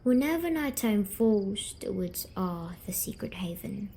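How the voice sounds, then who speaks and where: Mellow, slow-speaking woman in whispery ASMR storytelling. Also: medium pitch, animated, a little hiss or hum. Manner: soft, slow, coaxing delivery slipping into whispers. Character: gentle, patient, eerie female sleep paralysis demon comforting a dreamer. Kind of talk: scripted ASMR roleplay storytelling.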